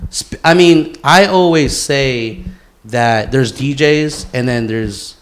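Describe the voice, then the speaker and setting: A man's voice: medium pitch, takes it slowly. A man co-hosting a podcast in loose, slangy, profane chat with friends. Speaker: podcast co-host.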